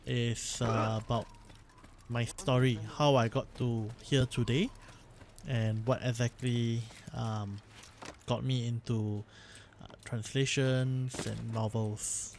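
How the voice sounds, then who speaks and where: A man's voice: medium pitch, a little hiss or hum. A young man from Singapore, hosting a casual let's play and rambling on, often correcting himself mid-sentence. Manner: rambling, self-correcting, chatty. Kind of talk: casual gameplay commentary.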